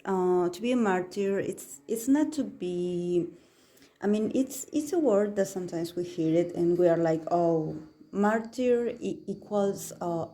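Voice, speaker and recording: A woman's voice: on the low side, warm tone. A young woman who is not a native English speaker, giving a casual solo tarot reading, talking hesitantly with many fillers and restarts. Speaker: tarot reader.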